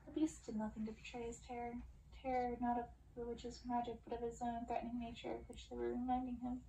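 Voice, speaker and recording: A woman's voice: on the high side, little pitch movement, fast, dark tone. A woman reading a story aloud in an ASMR narration style. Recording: roomy, distant, faint background noise.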